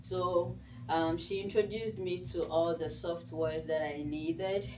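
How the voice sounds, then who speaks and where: A woman's voice: on the low side, fairly even intonation, slow pace, dark tone, slight background noise. A woman with a Ghanaian accent and Nzema as her native language gives an informal personal talk as a guest author, in a conversational storytelling way. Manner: conversational storytelling, voices her own past thoughts. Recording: distant mic in a room.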